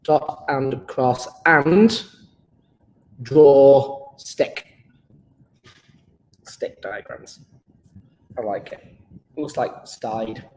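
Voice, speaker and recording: A man's voice: medium pitch, drawn-out words, pauses often, a little mellow, roomy sound, slight background noise, low-quality recording. A British man teaching a live online chemistry lesson, playful and enthusiastic, joking with his pupils.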